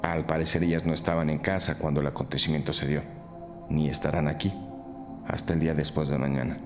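An actor playing a sober, methodical police detective who reports and reflects in a scripted crime podcast drama. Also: male voice, very deep voice, level tone, a little fast, music in the background. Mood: matter-of-fact. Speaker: detective reporting case facts.

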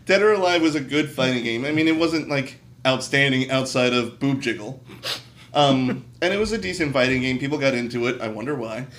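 A man's voice: medium pitch, animated, brisk, fairly bright tone. A man co-hosting a casual discussion of games and movies, explaining things conversationally. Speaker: podcast co-host. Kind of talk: casual chat about video games and movies.

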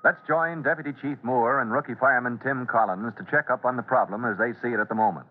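A man's voice: mid-range voice, quick pace. Dramatic old-time radio announcer man, tinny sound. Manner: dramatic, declamatory. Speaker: announcer and narrator. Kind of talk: 1940s radio serial narration.

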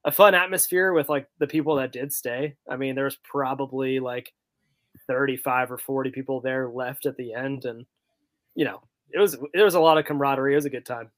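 A man's voice: mid-range voice, fairly even intonation, fairly quick, no echo. A man chatting casually as a soccer fan podcast panelist, talking loosely with many filler words. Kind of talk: casual chat.

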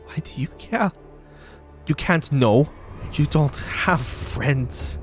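A wounded, scornful young giant-kin girl, acted out by a male game master. The voice is pushed up higher and moves slowly over a music bed. The delivery is raw and confrontational, steeped in grief. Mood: bitter scorn. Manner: emotional, confrontational, mocking.

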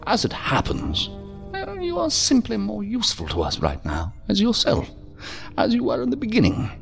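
A silky, pompous ambassador of a secret power in a sci-fi audio drama. The voice swoops dramatically from low to high, savoring each ornate phrase with mocking courtesy and condescension, over a music bed. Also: male voice, huge pitch swings, moderate pace, gravelly, exaggerated voice. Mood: mocking, grandiose. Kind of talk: science fiction audio drama acting.

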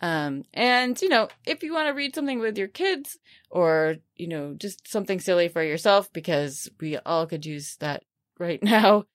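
A woman's voice: lowish voice, animated, normal speed, dry recording, clean studio sound. A woman hosting a book podcast, reviewing books in a chatty way with many fillers and asides. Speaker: podcast host. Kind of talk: casual book review chat.

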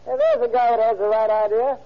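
A man's voice: extremely high pitch, big pitch swings, moderate pace. An actor playing an easygoing young workman, casual and exclamatory, in an old-time radio drama. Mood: relaxed. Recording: muffled old radio recording.

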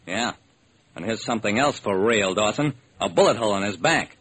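An actor playing a brisk, determined hard-boiled male private detective, narrating and acting in a classic radio crime drama. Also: on the low side, lively intonation, a bit bright, slight background noise. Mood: wry, brisk. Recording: old, slightly rough radio recording.

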